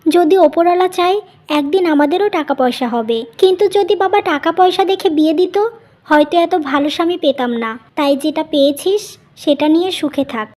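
High-voiced, patient elder sister played by a woman. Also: moderate pace, darkish tone, lightly acted. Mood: reassuring. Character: patient, sensible elder sister and gentle young wife. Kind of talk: Bengali cartoon story voice acting.

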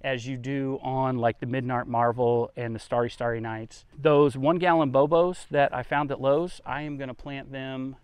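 A man's voice: medium pitch, fairly even intonation, moderate pace, hardly any pauses, clear, resonant tone, no echo. A man hosting a garden tour outdoors, talking in a folksy, conversational way as he explains things casually. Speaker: gardening vlogger, host.

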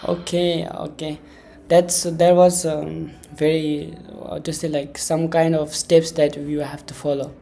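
A man in his twenties hosting a casual interview in a halting, wordy way, often repeating himself. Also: fairly high pitch, little pitch movement, vocal fry, warm tone. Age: 20s. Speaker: podcast host, interviewer.

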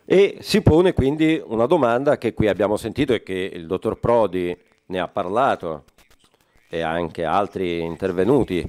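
A man interpreting a forum speech live, halting often with fillers and correcting himself mid-phrase. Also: fairly low pitch, lively intonation, darkish tone. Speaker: conference interpreter.

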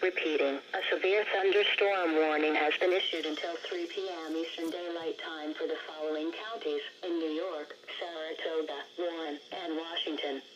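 A man's voice: fairly high pitch, fairly even intonation, moderate pace, few pauses, lo-fi sound, a crowd in the background. A synthetic man's voice reading scripted severe weather warnings impersonally through a weather radio speaker. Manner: impersonal, robotic. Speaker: automated weather radio announcer. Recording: very noisy weather radio picked up by camera.

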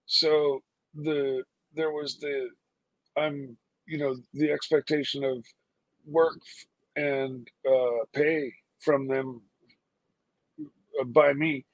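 Man, somewhat high pitch, dry sound, halting speech, many fillers. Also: steady tone, no room sound, dull audio. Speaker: livestream co-host. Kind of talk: casual chat. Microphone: video call.

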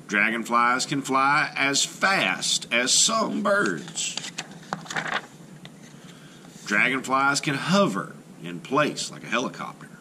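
Male teacher reading a picture book aloud at a slow, lively pace, with a bright tone and faint room hiss. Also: medium pitch. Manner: slow, careful reading with rising emphasis. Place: indoors at a table, camera over the book.

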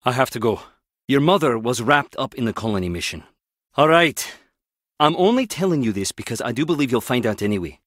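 An actor playing a wry, guarded skip tracer in a video game, teasing yet protective, speaking in a clipped, conversational way. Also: male voice, medium pitch, fairly bright tone, dry recording, clean studio sound. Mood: guarded, serious. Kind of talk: video game character dialogue.

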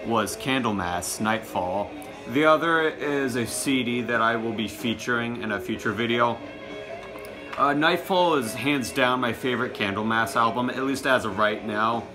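A young man in his teens or early twenties doing a casual show-and-tell to camera, recorded at arm's length in a small room at home. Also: medium pitch. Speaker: music collection vlogger.

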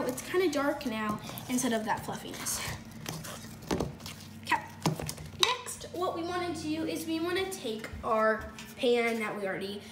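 A young woman hosting a casual home cooking video, chatty and upbeat, with lots of fillers and self-corrections, recorded on a phone in a kitchen. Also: sits high. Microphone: phone camera across the counter. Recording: echoey kitchen with noise.

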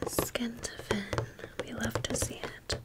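A woman whispers in a breathy, unvoiced ASMR style at an unhurried pace. She chats casually and slangily, gushing over details and repeating short phrases for effect. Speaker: ASMR creator presenting a doll haul.

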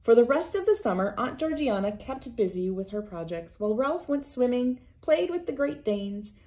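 A woman's voice: on the high side, very animated, moderate pace, mellow. A woman in her thirties reading a picture book aloud as a children's read-aloud host. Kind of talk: storybook read-aloud narration. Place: small wood-panelled room indoors.

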